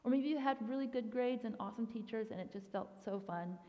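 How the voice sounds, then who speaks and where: A woman's voice: on the high side, fast, big-room sound, dull audio. A young woman, a youth ministry director raised in Thailand, giving a chatty guest talk at a church with frequent "um" and "like.". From grew up in Thailand.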